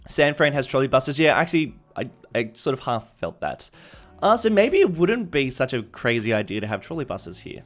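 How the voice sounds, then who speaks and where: A man streams a game in an easygoing, conversational way. His voice sits at an average male pitch as he muses aloud to his viewers. Music plays behind him. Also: very animated, normal speed, few pauses. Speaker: live streamer. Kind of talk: casual game-stream commentary, chatting with viewers. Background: background music.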